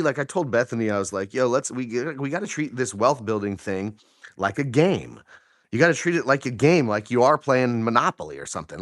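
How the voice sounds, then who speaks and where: A man with a hoarse, deeper morning voice, chatting casually to his viewers while he walks outdoors with his phone, rambling with lots of fillers and questions. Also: fairly bright tone, no room sound, studio quality. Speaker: vlogger. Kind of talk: casual talk to camera on a walk. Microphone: phone held while walking.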